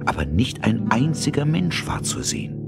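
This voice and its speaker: A man's voice: medium pitch, big pitch swings, normal speed, vocal fry. A man narrating a scripted fairy tale as a storyteller. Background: music.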